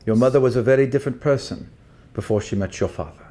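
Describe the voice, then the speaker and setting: Guy doing a big old ship captain for a tabletop game, folksy, gruff, looks out for you like a dad. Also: medium pitch, fast, warm tone, close to an everyday voice. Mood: gruff, protective. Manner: folksy, fatherly, calling the listener boy. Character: big jolly older sea captain, gruff but protective.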